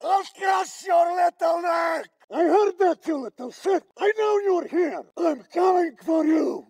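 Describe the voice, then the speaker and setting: An actor playing a brutal, threatening Russian soldier in a shooter game, with a Russian accent. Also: male voice, very high voice, a little slow, gravelly, darkish tone, dry recording, shouting, full character voice. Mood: furious. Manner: threatening, taunting. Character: brutal, menacing Russian soldier hunting a child. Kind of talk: combat voice lines for a shooter game.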